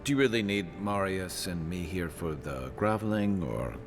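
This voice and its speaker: A blunt, wry adventurer in a fantasy game. The voice is deep and gravelly, with lively swings, over music. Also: male voice, normal speed. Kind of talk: video game character acting.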